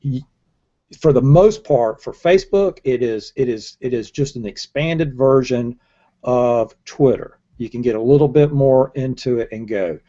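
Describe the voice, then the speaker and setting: A man's voice: mid-range voice, measured pace, mellow. An older man in a casual panel discussion on a video call, making his points emphatically and often repeating his words. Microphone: earbuds headset on a video call. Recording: dull lo-fi video call audio.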